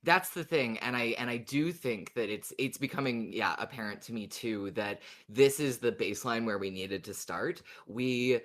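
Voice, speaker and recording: A podcast co-host discussing casually in a thoughtful, measured way, often pausing mid-sentence. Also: mid-range voice, fairly bright tone.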